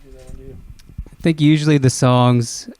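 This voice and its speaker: A man's voice: mid-range voice, steady tone, slow pace, darkish tone. A man in a band answering questions in a casual interview. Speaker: band member being interviewed.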